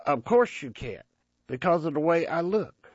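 A man's voice: on the high side, sing-song, moderate pace, no echo. A man voicing a wounded young soldier who is bitter and despairing after learning he is blind. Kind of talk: audio drama acting. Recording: muffled lo-fi recording.

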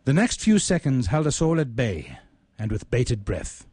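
A man reading his own literary short story aloud in a deliberate, measured, ornate manner. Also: mid-range voice, very animated, darkish tone, slightly rough, dry recording.

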